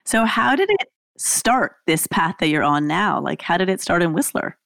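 A woman hosting an interview, asking relaxed questions with an enthusiastic, friendly manner. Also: on the low side, normal speed, vocal fry, no room sound, studio quality. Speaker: podcast host, interviewer. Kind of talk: casual interview chat.

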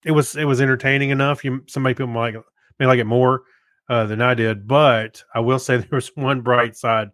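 A man's voice: mid-range voice, fairly even intonation, moderate pace, a bit bright, dry recording, studio quality. A man co-hosting a podcast in casual, understated conversation. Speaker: podcast co-host.

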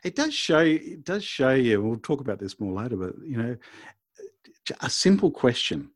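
A man's voice: medium pitch, very animated, normal speed, dry recording. Conversational male podcast host, close and dry. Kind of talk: casual discussion of storytelling.

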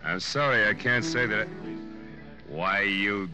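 An actor playing a hot-tempered, hard-drinking bandleader in a 1940s radio drama, snarling and blustering. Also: male voice, lowish voice, unhurried, close to an everyday voice. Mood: belligerent. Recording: old-time radio recording with music.

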